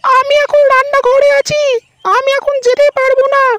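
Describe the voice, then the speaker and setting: A woman's voice: level tone, normal speed, a bit bright. A woman voicing a nagging but caring village wife in a comedy cartoon. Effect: pitch-shifted chipmunk-like voice.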